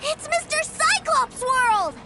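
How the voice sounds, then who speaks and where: A woman voicing an excitable, childlike young boy in a video game, eager and full of exclamations. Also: extremely high pitch, slow, heavily acted. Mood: thrilled. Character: excitable young boy, adoring his big brother. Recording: background music under the voice.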